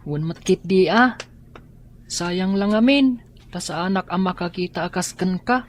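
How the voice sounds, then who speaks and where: A woman's voice: fairly low pitch, unhurried, lightly acted. Woman voicing a wistful boy, noisy recording. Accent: Filipino. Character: wistful, gentle blind boy grateful to his sister. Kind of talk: Ilocano audio drama acting.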